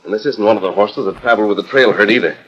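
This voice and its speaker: Man playing a deep-voiced, commanding masked cowboy hero. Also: very fast, fairly bright tone, dull audio, slight background noise, close to an everyday voice. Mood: urgent, serious. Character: masked western hero, commanding, resolute and kindly. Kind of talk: 1940s radio acting. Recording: old 1940s radio transcription disc.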